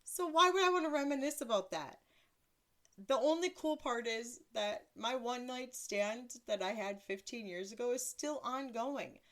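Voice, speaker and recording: Woman, thirties, deeper voice; blunt, crude solo podcast host who mocks herself, sits a little high in pitch. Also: normal speed, a bit bright. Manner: blunt, crude, self-mocking, laughs often. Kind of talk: casual solo podcast monologue.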